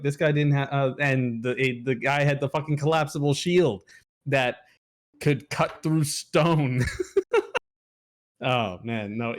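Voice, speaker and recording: A man co-hosting a movie review, talking casually in a halting, rambling way with swearing. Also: mid-range voice. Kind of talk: casual film discussion.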